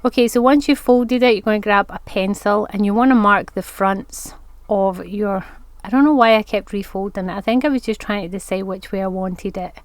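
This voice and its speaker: A woman's voice: medium pitch, moderate pace, no room sound. A woman with a congested voice from hay fever, chatting her way through a step-by-step crafting tutorial. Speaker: craft vlogger.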